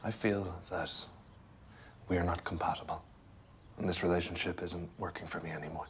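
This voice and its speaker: Man acting as low voiced detached boyfriend, overly polite n evasive, dark comedy film, noisy background. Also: fairly even intonation, normal speed, natural voice. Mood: politely detached. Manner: hesitant, formal, therapy-speak politeness.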